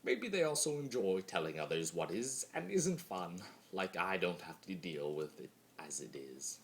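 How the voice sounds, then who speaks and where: A man's voice: deep voice, very animated, moderate pace, slight background noise. A man voicing a bitter, mocking male spirit of chaos in a theatrical, brooding, sardonic dramatic reading of fan fiction. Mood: mocking, agitated.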